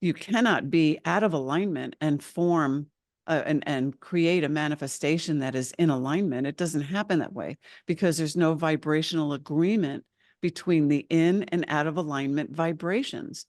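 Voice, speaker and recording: A woman's voice: deep voice, normal speed, darkish tone, no room sound, clean studio sound. A woman channeling spiritual teachings as a guest, speaking earnestly and insistently as a collective "we" over a video call.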